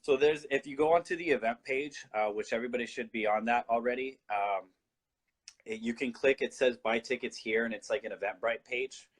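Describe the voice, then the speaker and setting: A man's voice: medium pitch, brisk. A man from Colombia, a guest in a casual talk-show discussion, explaining his views earnestly and often restarting his sentences.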